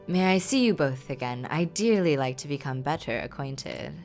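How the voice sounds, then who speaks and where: A woman voicing a courteous, smitten gentleman lord from a game, with formal, courtly phrasing. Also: low-pitched, lively intonation, normal speed, faint music behind, voice pushed down. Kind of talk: reading game dialogue aloud.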